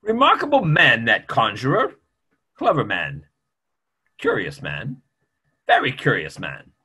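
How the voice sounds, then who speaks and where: A man playing a stiff, proper gentleman in a play reading, with formal theatrical delivery. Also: mid-range voice, wildly swooping pitch, measured pace, slightly rough, exaggerated voice. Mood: uneasy.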